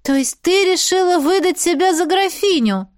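A woman's voice: extremely high pitch, expressive, normal speed, dry recording, clean studio sound, pitched above the performer's own voice. A woman voicing a plucky, wry countess with witchly powers in an audiobook, exclamatory and insistent. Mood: indignant.

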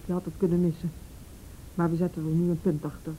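An actress playing a calm woman in a Dutch radio play, questioning someone gently in a measured way. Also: on the low side, a little hiss or hum, lightly acted, poor audio. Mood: reassuring, resolute. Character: calm, probing woman interviewer helping an abused girl.

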